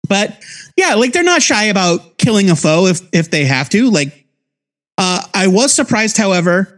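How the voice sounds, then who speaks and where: High-pitched man chatting about geeky interests. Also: sing-song, normal speed, a bit bright. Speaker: co-host of a comics review podcast. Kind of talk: casual review chat.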